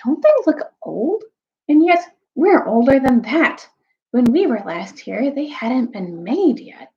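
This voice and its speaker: A curious, thoughtful schoolboy from a children's storybook, voiced by a woman reader. He speaks with quiet wonder. The sound is a little lo-fi. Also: high voice, very animated, slow, voice pushed up, full character voice.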